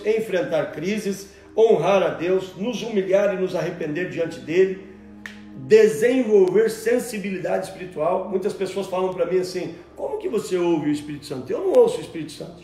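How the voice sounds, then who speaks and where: A man in his forties preaching a sermon from a table at home, animated and repeating words as he searches for them. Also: high-pitched, roomy sound. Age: 40s. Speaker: pastor. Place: room at home, at a table with Bibles.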